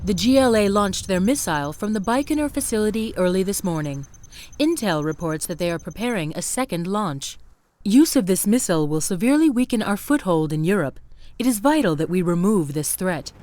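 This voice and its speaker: An actress playing a businesslike female military command officer in a video game, giving crisp, official mission briefings. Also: mid-range voice, animated, a little fast, a little mellow, natural voice. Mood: businesslike, urgent.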